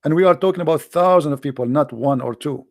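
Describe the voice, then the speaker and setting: A man's voice: fairly high pitch, fast talker, gravelly, dry recording, clean studio sound. Emphatic man with an Arabic accent. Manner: emphatic, blunt, repeats phrases, rhetorical questions. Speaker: host, religious polemicist. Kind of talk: impassioned informal monologue to online audience.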